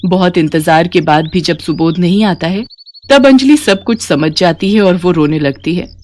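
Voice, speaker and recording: A woman's voice: on the low side, moderate pace, a little mellow. A woman narrating a scripted moral bedtime story as a storyteller.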